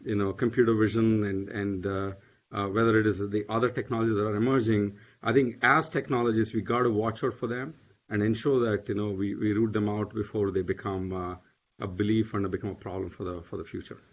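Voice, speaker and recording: A man's voice: fairly low pitch, fairly even intonation, brisk. Guy on a panel, lots of uhs and you knows, muffled lo-fi audio. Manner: hesitant, many fillers and restarts. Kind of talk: panel discussion. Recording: dull lo-fi audio.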